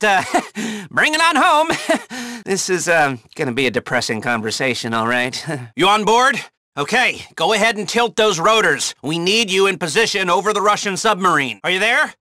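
An actor playing a flippant, anxious, sarcastic intelligence handler in a video game, wry and excitable. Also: male voice, high voice, no echo, clean studio sound, exaggerated voice. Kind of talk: video game mission dialogue.

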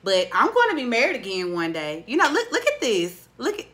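A woman in her late twenties hosting a casual podcast interview, chatty and expressive, playfully teasing her guest, recorded on a studio mic in a small room. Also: on the high side, fairly quick. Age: 20s. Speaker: podcast host. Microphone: studio mic on boom arm.

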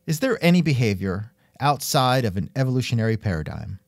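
A man with a lively, swooping delivery hosts a casual interview. He sounds wry and musing, and the recording is close and dry. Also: medium pitch, fairly quick, no room sound. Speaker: podcast host and interviewer. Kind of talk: casual scripted interview chat.

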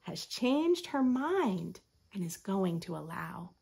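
An American woman in her thirties reading a picture book aloud with expressive, teacherly asides to young children. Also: mid-range voice, takes it slowly, vocal fry, husky. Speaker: children's story host. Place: small furnished room at home.

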